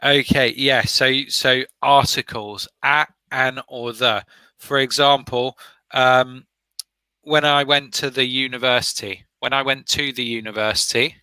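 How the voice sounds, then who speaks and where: A man's voice: medium pitch, steady tone, measured pace, bright, forceful tone, smooth, no echo. A man who is a former examiner, asking practice questions and giving encouraging, step-by-step feedback over an online video call. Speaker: former IELTS examiner running speaking practice.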